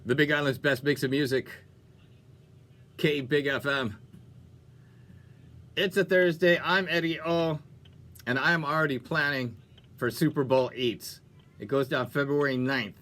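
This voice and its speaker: A man presenting on music radio as a DJ, with an animated, folksy, playful delivery and chatty talk breaks. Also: fairly high pitch, unhurried, long pauses. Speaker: radio DJ and show host.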